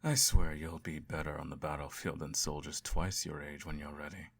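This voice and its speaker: A man in a scripted bedtime roleplay, speaking in a calm, measured way with a husky voice and dropping at times into whispers. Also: a bass voice, a little hiss or hum. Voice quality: gravelly, husky. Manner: calm, measured, softly murmuring, drops into whispers. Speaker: emperor parent in an audio roleplay. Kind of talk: scripted audio roleplay, soft bedtime storytelling.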